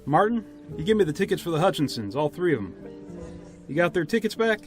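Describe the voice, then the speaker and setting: An actor playing a brisk, folksy village official in a radio play, with rural turns of phrase and an orderly, insistent manner. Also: male voice, on the high side, sing-song, faint music behind. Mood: businesslike.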